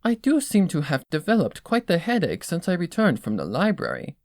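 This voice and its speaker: A woman's voice: fairly low pitch, huge pitch swings, a little fast, darkish tone, no room sound, clean studio sound, pitched above the performer's own voice. A woman voicing a refined, dramatic female pony dressmaker in a theatrical, genteel, affectionate manner for a story reading. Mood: weary, dismissive.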